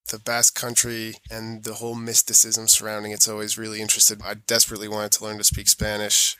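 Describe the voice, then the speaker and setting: Bright, even-toned American man in conversation. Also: mid-range voice, clean tone, dry recording, poor audio. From born in New York, raised mostly in Europe. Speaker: podcast guest, sustainability auditor. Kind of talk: conversational interview answers.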